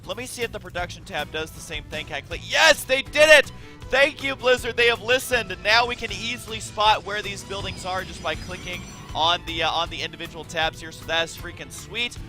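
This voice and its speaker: A man commentating a video game match from his room, excitable and quick to exclaim, his voice raspy from just waking up. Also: high-pitched, faint music behind. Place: his own room at home.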